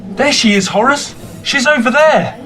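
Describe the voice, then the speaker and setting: A man plays a village bystander in a comic radio drama. He has a high male voice with clear music behind it. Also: lively intonation, normal speed, pushed and bright, heavily acted. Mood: helpful. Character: village man witness at a fete.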